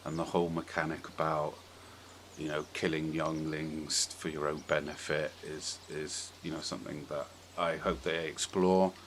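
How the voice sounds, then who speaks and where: A man's voice: rumbling low, little pitch movement, moderate pace, a bit bright. A man hosting a podcast, chatting casually and informally with strong opinions. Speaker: podcast host. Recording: clearly audible background noise.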